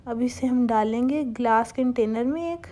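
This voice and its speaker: A young woman hosting a home cooking channel, calmly talking viewers through a recipe step by step and mixing English cooking terms into her speech. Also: on the high side, normal speed. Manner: calm, instructive, mixes English cooking terms into Hindustani speech.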